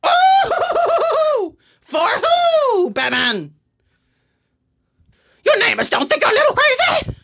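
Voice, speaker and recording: A man voicing a manic, theatrical clown supervillain in a comedy parody, with a shrill, gravelly cackle, taunting and talking about himself in the third person. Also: huge pitch swings, takes it slowly, long pauses, sharp tone, slight background noise, heavily acted. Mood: screaming, goading. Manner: exclaims theatrically, talks of self in third person.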